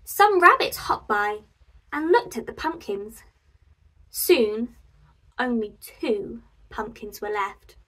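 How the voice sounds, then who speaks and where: A woman in her twenties reading a picture book aloud to preschoolers, animated and expressive. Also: a little high, slow pace, pauses often, recorded from a distance. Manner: animated, expressive, addressing young children. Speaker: children's storyteller and host.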